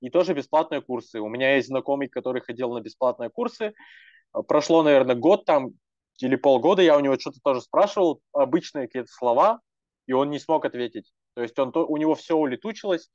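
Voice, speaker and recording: Young male activist, earnest, rambling talker, mid-pitched, dry and slightly muffled recording. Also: expressive, fast talker, darkish tone, dry recording. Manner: earnest, rambling with many fillers and restarts. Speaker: language activist. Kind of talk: casual panel talk.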